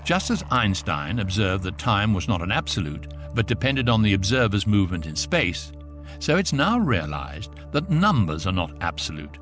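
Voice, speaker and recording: An actor playing the dry, knowing narrator of an electronic guidebook in a radio drama, lecturing with a straight face. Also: male voice, medium pitch, natural voice. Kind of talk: scripted narration.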